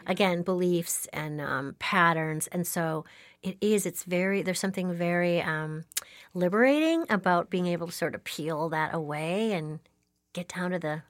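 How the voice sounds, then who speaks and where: A woman's voice: on the low side, moderate pace, no room sound, clean studio sound. A woman hosting a podcast interview, encouraging and chatty, with frequent fillers. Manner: warm-voiced, encouraging, with frequent fillers and laughs. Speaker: podcast host. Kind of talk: casual interview chat.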